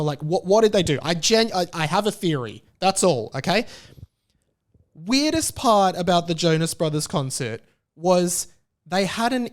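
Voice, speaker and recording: An Australian man hosting a casual solo podcast, rambling and self-deprecating, with many filler likes. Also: on the high side. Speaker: podcast host.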